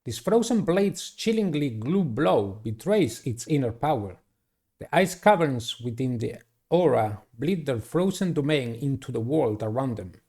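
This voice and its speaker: A man doing a playthrough of a visual novel, reading it aloud and commenting in a deliberate, halting way. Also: mid-range voice, lively intonation, a little mellow, breathy edge. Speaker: gamer playing and narrating a visual novel. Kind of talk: dramatic read-aloud with casual playthrough commentary.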